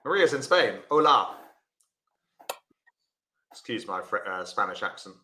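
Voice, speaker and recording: A man's voice: on the low side, sing-song, measured pace, pushed and bright, echoey room, slight background noise. A man teaching a live online lesson, talking through the play and chatting to viewers by name. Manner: chatty, talks to viewers by name while explaining. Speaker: bridge teacher.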